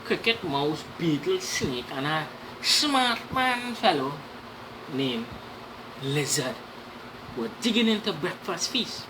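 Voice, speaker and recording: Female storyteller for read-aloud tale: lowish, very varied pitch, asides to listeners, noisy recording. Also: sing-song, slow pace. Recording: clearly noisy background.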